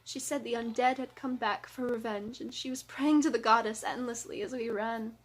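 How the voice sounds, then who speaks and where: A woman's voice: fairly high pitch, fairly even intonation, moderate pace, lightly acted, low-quality recording. A woman voicing a frantic, pleading young man, a blind human refugee in a fantasy story. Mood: shaken, recounting. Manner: frantic, exclaiming.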